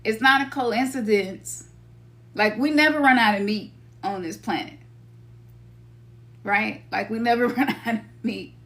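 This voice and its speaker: A woman's voice: a little high, measured pace, pauses often, a bit bright. Memphis woman giving a loose spiritual talk. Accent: Memphis Southern. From Memphis, Tennessee. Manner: loose and conversational, slangy, acts out her own inner voice. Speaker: spiritual teacher. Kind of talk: casual spiritual talk to listeners.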